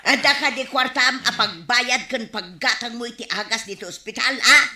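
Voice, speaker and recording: An exasperated wife in a radio comedy, nagging and insistent. Her voice is high and very sharp, with a roomy echo around it. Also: normal speed. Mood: scolding. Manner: scolding, insistent. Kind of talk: comedic radio drama acting.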